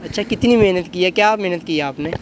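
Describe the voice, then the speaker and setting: Male host, high pitch, effusive interviewing style, faint outdoor urban noise. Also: quick pace. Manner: effusive, repeats answers back. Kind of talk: street interview for a web show. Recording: outdoor street noise with traffic.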